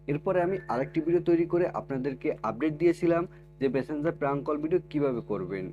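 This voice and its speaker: A man's voice: fairly high pitch, a little mellow, lo-fi sound. A man narrates a how-to video for viewers as its host. His delivery is instructional and scripted, built on repeated step-by-step phrasing. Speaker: tech tutorial video host.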